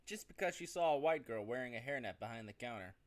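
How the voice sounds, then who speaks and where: A male gaming streamer reads visual novel narration out loud at a middling pitch. His delivery is expressive but unpolished, and he sometimes stumbles over a word and corrects himself as he plays. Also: fast talker. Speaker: gaming streamer doing a playthrough. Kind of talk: reading visual novel narration aloud with off-the-cuff reactions.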